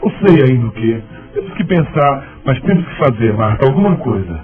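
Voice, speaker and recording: A man's voice: medium pitch, expressive, brisk, lightly acted. An actor playing an earnest, lovestruck man in a radio soap opera, with a dramatic delivery. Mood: concerned. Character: Rômulo, lovestruck earnest man, insistent and concerned. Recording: muffled, narrow phone-like radio drama sound. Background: music.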